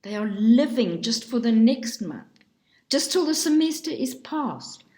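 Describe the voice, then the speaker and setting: A woman reading a book aloud in a slow, deliberate and expressive way. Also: on the high side. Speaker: reader. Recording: roomy echo.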